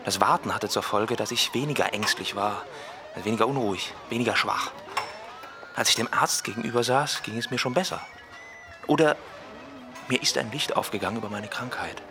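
A man's voice: on the low side, a little fast, close to an everyday voice. A man playing an anxious, self-observing patient in a literary radio play monologue. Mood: anxious, reflective. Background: music.

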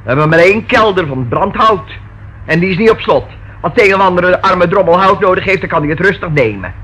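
A woman's voice: lowish voice, animated, brisk, a bit bright, slightly rough. An actress playing a sardonic, unflustered and evasive hotel landlady in a radio play, sarcastically polite and defiant. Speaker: radio drama actor. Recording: noisy old radio drama recording.

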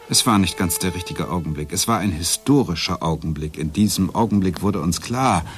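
A deep-voiced, earnest man in a radio drama, played by an actor. He can be reflective or hesitant, like someone sincerely trying to make sense of things. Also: close to an everyday voice. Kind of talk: German radio play acting and narration.

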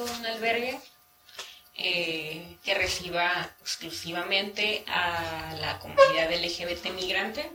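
A woman answering interview questions haltingly, searching for words, in a field recording. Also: on the low side. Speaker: shelter worker being interviewed. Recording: echoey, noisy field interview.

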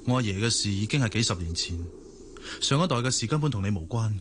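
Fast-talking earnest Hong Kong young man. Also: fairly low pitch, a little hiss or hum. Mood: concerned. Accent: Hong Kong Cantonese. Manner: earnest, excitable, questioning. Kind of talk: Cantonese radio drama acting.

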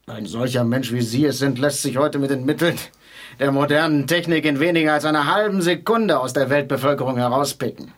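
An actor playing a solemn, officious courier in a radio drama, speaking formally and precisely. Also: male voice, mid-range voice, brisk, lightly acted. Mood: explaining, grave. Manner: formal, matter-of-fact, precise. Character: officious male courier on a secret mission, solemn. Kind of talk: theatrical radio drama dialogue.

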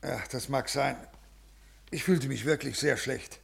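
Husky, gravelly, guilt-ridden male official. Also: medium pitch, fairly bright tone, heavily acted. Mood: weak, evasive. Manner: halting, formal, evasive. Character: ailing, evasive, guilt-ridden male civil servant. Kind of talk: 1950s German radio drama acting. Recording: 1950s radio recording.

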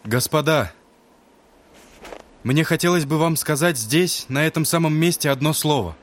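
An actor playing a gentle, earnest young man who speaks to schoolboys in a solemn, heartfelt way in an audio drama. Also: on the high side, lightly acted. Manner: solemn, heartfelt speechmaking with deliberate pauses. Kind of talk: Russian literary audio drama acting.

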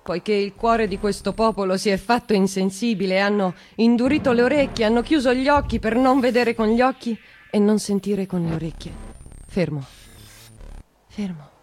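An actress playing an anguished, trapped woman in a theatrical monologue, with a Roman accent and fragmented, repetitive delivery that moves between hushed and shouted. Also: mid-range voice, moderate pace, a little hiss or hum, lightly acted. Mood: hushed dread.

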